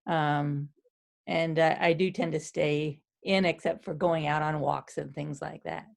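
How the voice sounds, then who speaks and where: Chatty woman with a lowish voice; casual video-call chat. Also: steady tone, measured pace, vocal fry. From central Pennsylvania. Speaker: poet and university teacher.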